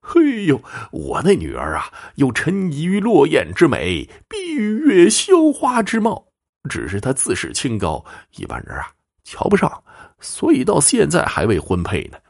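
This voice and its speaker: A man's voice: sits low, huge pitch swings, normal speed, vocal fry, breathy edge, no echo, studio quality, full character voice. A man voicing a proud, sighing woman in her fifties in a storybook tale, effusive and old-fashioned in speech. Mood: boastful. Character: proud, sighing fifty-one-year-old matchmaking mother.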